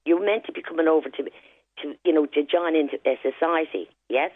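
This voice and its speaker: A woman's voice: sits low, fairly quick, dry recording. Emphatic Irish woman on a tinny phone line. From Ireland. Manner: emphatic, repeats "right?" and "you know". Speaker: caller. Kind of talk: phone-in call. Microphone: telephone line.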